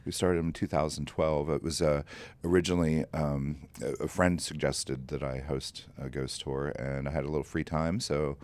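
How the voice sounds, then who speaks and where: A man's voice: rumbling low, steady tone, normal speed, darkish tone, no room sound. A middle-aged man who runs ghost tours, answering casually and easygoing, with many fillers. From Columbus, Ohio, US. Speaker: ghost tour guide and ghost hunter.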